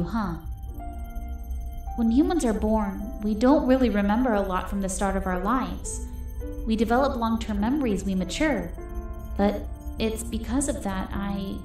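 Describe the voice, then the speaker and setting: A woman voicing a gentle, hopeful young girl in an animated comic dub, earnest, soft-spoken and wistful. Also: mid-range voice, natural voice. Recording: echoey voice under background music.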